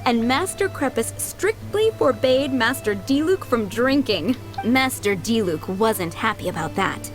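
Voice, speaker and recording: Kindly middle-aged game maid, highish lively voice, doting, polite, chatty, faint music behind. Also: female voice. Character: kindly motherly head maid, fondly remembering boys she raised. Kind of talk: video game character acting. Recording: background music under the voice.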